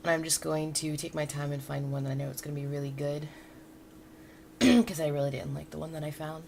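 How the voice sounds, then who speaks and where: A woman's voice: sits low, a little fast, a little mellow. A woman live streamer with a cold, chatting casually and jokily to her viewers. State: has a cold. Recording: clearly noisy background ambience.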